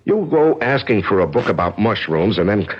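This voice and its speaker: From a dull vintage radio play comes a low, raspy reluctant crook. He is gruff and skeptical, weighing the scheme bluntly and warily. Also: big pitch swings, normal speed. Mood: exasperated. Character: wary, gruff male lover conspiring in a murder. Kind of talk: 1970s radio drama acting.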